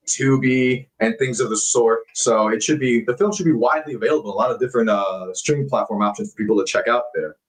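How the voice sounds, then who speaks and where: A man's voice: medium pitch, rough recording. A man appearing as a guest actor on a casual talk show, chatty and self-deprecating, laughing at himself as he tells stories. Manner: hesitant, many fillers and restarts. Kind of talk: casual interview chat.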